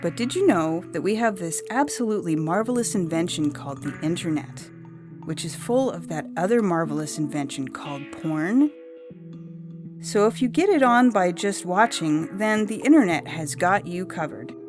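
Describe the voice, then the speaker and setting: A woman's voice: medium pitch, natural voice. A woman reading a comic story as a chatty, blunt young woman abducted by aliens, with a breezy, emphatic, slangy delivery. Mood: chatty, knowing. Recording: music under the voice. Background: music.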